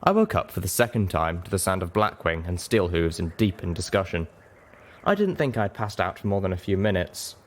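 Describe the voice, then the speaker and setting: Deep-voiced man narrating a story. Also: lively intonation, brisk. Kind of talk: expressive audiobook narration.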